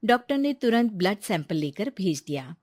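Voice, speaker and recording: A woman's voice: medium pitch, sing-song, moderate pace, a little mellow, dry recording. Woman narrating a story, close dry sound.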